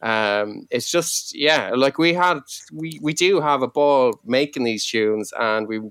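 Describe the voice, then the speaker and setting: Rambling musician, quick bursts. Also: male voice, medium pitch, fairly bright tone, clean tone, dry recording. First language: Irish. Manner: fast, rambling, many likes and you-knows. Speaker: musician guest. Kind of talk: casual interview answers.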